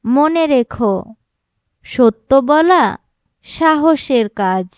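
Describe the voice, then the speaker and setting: A woman narrating a scripted children's moral story in a measured, instructive way. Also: sits high, animated, no room sound, clean studio sound. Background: background music.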